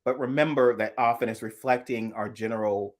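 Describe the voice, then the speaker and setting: A man from the American South co-hosting a casual chat, thoughtful and halting, often restarting his sentences. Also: mid-range voice, fairly even intonation. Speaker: co-host.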